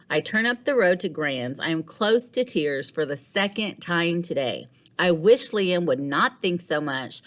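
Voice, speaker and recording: A woman teacher reading a children's storybook aloud in a measured, animated way. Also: low-pitched. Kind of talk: expressive storybook read-aloud.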